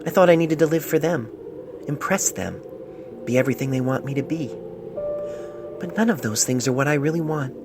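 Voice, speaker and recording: A man reads as a lovestruck young storybook mare, tender and wistful. The voice is lightened yet creaky, with music behind a homemade phone recording. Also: fairly high pitch, lively intonation, fairly quick, pauses often, voice pushed up. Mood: tender, hopeful. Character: young mare in love, writing a tender letter. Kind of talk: letter read aloud in story.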